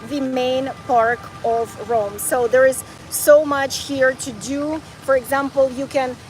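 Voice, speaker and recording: A high-pitched woman in her forties talks eagerly while strolling outdoors, her phone picking up a faint hum of traffic behind her. She chats to a live audience and often doubles back on her words. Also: fairly even intonation, slow pace, low-quality recording. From Ukraine, raised in North Carolina. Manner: enthusiastic, chatty, repeats words as she talks. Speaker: travel vlogger. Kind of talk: live-streamed walking travel chat. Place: outdoors in a city park and square.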